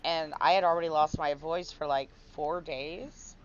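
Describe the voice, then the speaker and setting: Hoarse woman streamer chatting while gaming. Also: deep voice, steady tone, moderate pace, muffled recording, a little hiss or hum. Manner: chatty, talks to viewers and co-player. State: hoarse, recovering lost voice. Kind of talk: casual chat while gaming.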